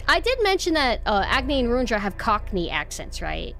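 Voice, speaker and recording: A woman's voice: on the high side, very animated, moderate pace, a bit bright. Young female streamer, nasal, sarcastic, swears a lot, faint music under her. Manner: rambling, sarcastic, swears freely. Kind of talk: casual stream chat.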